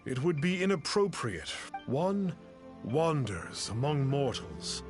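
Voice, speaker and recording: Game role: self-styled immortal master, grandiose archaic delivery, unhurried, highly varied pitch. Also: male voice, sing-song, over music. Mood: lofty, assured. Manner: grandiose, archaic, measured speech. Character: pompous self-styled mystic posing as an immortal sage. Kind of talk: theatrical video game voice acting.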